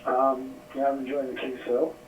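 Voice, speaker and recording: Mellow man on a muffled radio. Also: medium pitch, monotone, normal speed. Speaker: amateur radio operator. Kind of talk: two-way radio sign-off. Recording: dull, roomy, distant radio speaker, faint noise.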